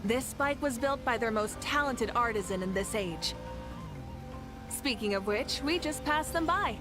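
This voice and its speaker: A confident warrior leader in a fantasy video game. She is breezy and commanding, with a slightly high voice and an unhurried pace over clear music. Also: lively intonation. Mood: upbeat, confident. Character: confident, fun-loving adult woman warrior leader. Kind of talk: video game character acting.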